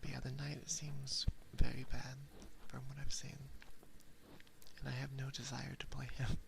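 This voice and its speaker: A woman streamer speaking in soft, intimate whispered ASMR, chatting casually and dotingly to her viewers close into a binaural microphone. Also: a bass voice, little pitch movement, normal speed, background noise. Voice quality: breathy whisper with heavy vocal fry. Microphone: close binaural ear microphone.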